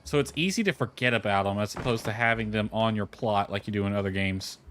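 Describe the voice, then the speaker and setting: A man's voice: lowish voice, quick pace, fairly bright tone, a little hiss or hum. A man giving casual, rambling livestream commentary as he plays a game, thinking aloud as he goes. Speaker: game streamer. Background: background music.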